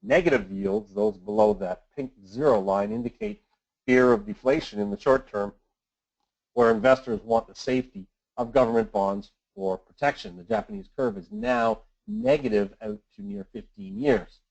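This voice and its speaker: Man, creaky voice, measured financial briefing, dull lo-fi conference call sound. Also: mid-range voice, sing-song, a little mellow. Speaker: investment adviser presenting a client webinar. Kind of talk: scripted market commentary on a conference call.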